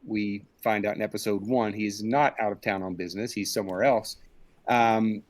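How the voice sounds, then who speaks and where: A man's voice: on the low side, steady tone, normal speed. A middle-aged man talking conversationally as an interview guest on a group video call from a room indoors. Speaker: interviewed director and audio drama creator.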